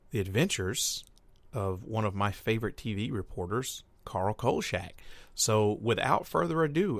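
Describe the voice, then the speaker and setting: A man's voice: on the low side, no echo. A middle-aged man hosting a radio show, casually introducing the episode in a rambling, hesitant way. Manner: rambling, hesitant, lots of uh and restarts.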